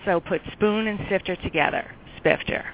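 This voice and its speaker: A woman's voice: lowish voice, normal speed, creaky. A middle-aged woman from New York, telling her story chattily as a guest in a round-table interview, with frequent ums. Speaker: guest entrepreneur. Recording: tinny phone line with faint noise.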